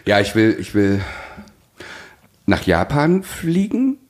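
A man's voice: lowish voice, sing-song, slow pace, a little mellow. A man with chatting casually as a podcast host, effusive in wording and often searching for words. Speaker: podcast host, musical maker.

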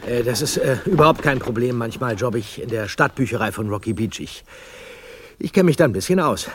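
Audio drama role: adult actor as a courteous young detective, mid pitch, halting delivery, faint noise and clicks. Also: male voice, expressive, natural voice. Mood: polite, hesitant. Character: polite, hesitant, bookish teenage boy detective. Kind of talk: German audio drama acting.

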